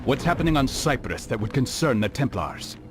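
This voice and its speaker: A man's voice: mid-range voice, big pitch swings, a little fast, over music, close to an everyday voice. Stern, dry-witted assassin acted by a man. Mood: measured, probing. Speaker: video game character. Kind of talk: scripted game cutscene acting.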